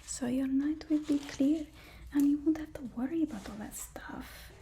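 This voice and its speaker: A woman's voice: high voice, normal speed, mellow, a little hiss or hum. A woman doing a whispered ASMR role-play as a supervillain's timid, apologetic assistant, speaking in a hesitant, placating way. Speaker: ASMR performer playing a villain's personal assistant.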